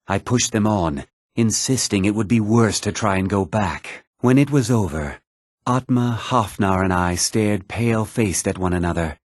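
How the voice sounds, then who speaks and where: A man playing a grave older mage in a video game, with a measured, formal, theatrical delivery. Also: on the low side, no echo, clean studio sound. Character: grave older male mage, stern college leader, haunted by guilt. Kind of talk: dramatic video game voice acting.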